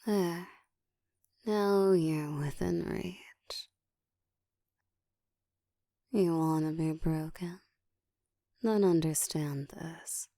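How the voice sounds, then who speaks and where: A woman voicing a dominant, seductive ancient vampire in an intimate, breathy whispered ASMR roleplay, teasing and deliberate. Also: sits low, warm tone, no echo, studio quality, heavily acted. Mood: commanding, seductive. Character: dominant, seductive ancient female vampire, hungry yet controlled.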